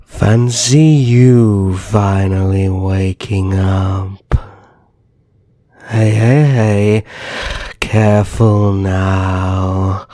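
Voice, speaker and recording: A man's voice: sits low, warm tone, no room sound, studio quality. A man playing a suave, condescending vampire naga in an ASMR roleplay, speaking in courtly endearments. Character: suave older vampire naga brother, a coaxing, mocking kidnapper.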